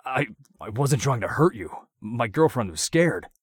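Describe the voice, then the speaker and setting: Dude voicing a stammering scared hostage, higher pitched, husky, storybook voice. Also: male voice, very animated, unhurried, dry recording, clean studio sound. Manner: stammering, then cursing. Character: frightened, defiant captive man.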